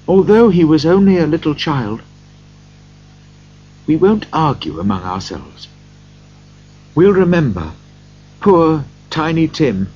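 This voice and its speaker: A man voicing a gentle, grieving father who speaks tenderly to his family, on a dull lo-fi recording. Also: on the high side, slight background noise, pitched above the performer's own voice. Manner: tender, heartfelt.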